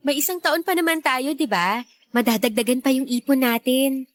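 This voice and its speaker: A woman's voice: sits high, moderate pace, dry recording, close to an everyday voice. An actress playing a supportive, practical young girlfriend in a Filipino radio drama. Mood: light, supportive.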